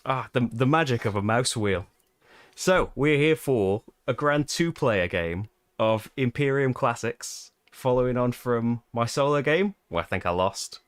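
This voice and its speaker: A man's voice: mid-range voice, animated, unhurried, clean tone, no room sound, clean studio sound. A British man hosting a live board game playthrough, chatting casually and laughing often at his own expense. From Britain. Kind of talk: casual live-stream chat.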